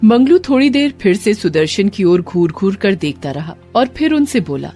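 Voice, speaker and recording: Animated woman narrating a moral story. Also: mid-range voice, normal speed, soft background music. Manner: expressive, dramatic storytelling.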